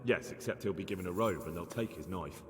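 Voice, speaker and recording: A plucky young man speaks on the low side. He is plain-spoken and persistent, in the dramatic style of a video game cutscene. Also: quick pace, breathy edge, long reverb tail. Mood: questioning, doubtful. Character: plucky young man posing as a would-be gang recruit.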